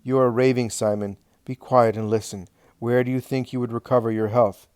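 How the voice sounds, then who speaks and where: A man's voice: mid-range voice, fairly even intonation, moderate pace, a little mellow, clean tone, no echo, natural voice. A man voicing a stern, domineering head of a household, who gives orders and rebukes in a curt, commanding way. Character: stern, domineering older male clan head.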